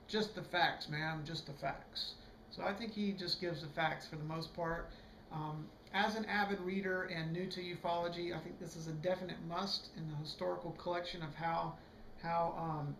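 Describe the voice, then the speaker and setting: A hoarse man giving a casual, rambling video book review, full of fillers and restarts. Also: a little high, a bit bright, roomy sound, a little hiss or hum, low-quality recording.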